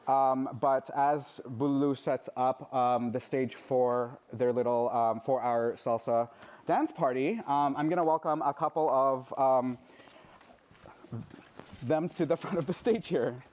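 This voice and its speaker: Male event host, halting delivery, full of ums, live stage. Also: mid-range voice, steady tone, darkish tone. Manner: hesitant, many ums and uhs.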